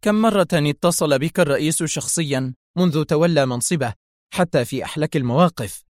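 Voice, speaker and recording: A man's voice: medium pitch, fairly quick, dry recording, studio quality, lightly acted. A man voicing an insistent, suspicious general in a story, emphatic and urgent. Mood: excited. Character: excitable, insistent male general, suspicious and forceful. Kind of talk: dramatised audio story dialogue.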